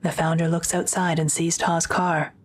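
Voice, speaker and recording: A woman's voice: deep voice, level tone, normal speed. A woman reading scripted narration for an audio drama.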